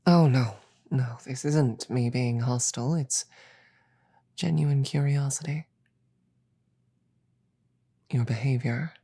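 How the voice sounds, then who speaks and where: Woman voicing a very deep, husky demon queen. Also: dark tone, heavily acted. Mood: earnest, curious. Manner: slow, deliberate, measured, breathy murmur with pauses. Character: regal, calm, menacing demon queen, softly seductive. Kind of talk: scripted roleplay audio drama.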